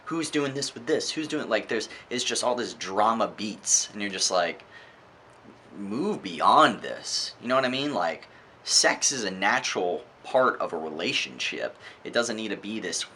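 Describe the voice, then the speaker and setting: Male vlogger, fairly low pitch, bright forceful edge; emphatic, conversational opinion delivery over faint background noise. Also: lively intonation, unhurried. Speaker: book reviewer, vlogger. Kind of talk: informal opinionated book review monologue.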